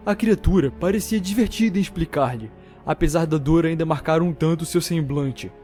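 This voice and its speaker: Higher-voiced Brazilian man narrating fantasy. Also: moderate pace, dark tone. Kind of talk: dramatic scripted fantasy storytelling. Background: music.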